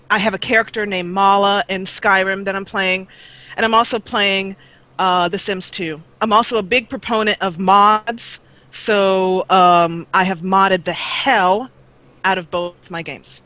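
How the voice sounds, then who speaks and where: A woman's voice: medium pitch, measured pace, bright, forceful tone, no room sound, poor audio. A woman chatting casually and playfully as a gaming guest on an online call. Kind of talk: casual chat.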